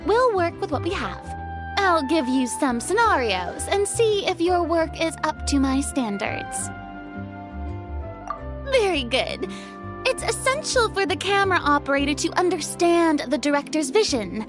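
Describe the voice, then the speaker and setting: A high-voiced, theatrical young lady from a video game. She is proud but friendly and a touch haughty, swinging expressively through her lines over clear background music. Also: sing-song, a little slow, heavily acted. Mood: confident, exacting. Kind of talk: English video game voice acting. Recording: music behind the voice.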